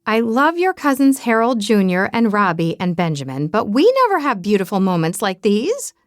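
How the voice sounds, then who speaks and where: A woman voicing a loud, tearful, gushing older aunt. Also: medium pitch, very animated, normal speed, no room sound, studio quality, lightly acted.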